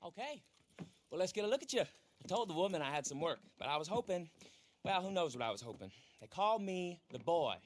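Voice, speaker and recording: Cocky, high-voiced teenage boy boss. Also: sing-song, a little fast, slight background noise. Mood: boastful. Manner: brash, bragging, bossy. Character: cocky, boastful fifteen-year-old boy gang boss. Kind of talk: video game character acting.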